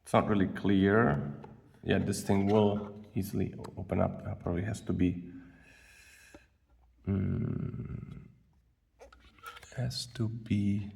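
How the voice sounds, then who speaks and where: A man giving casual, unscripted unboxing commentary as a vlogger, thinking aloud in a halting way with a gravelly voice. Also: sits low, level tone, darkish tone. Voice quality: gravelly, creaky. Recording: distant mic, faint background noise.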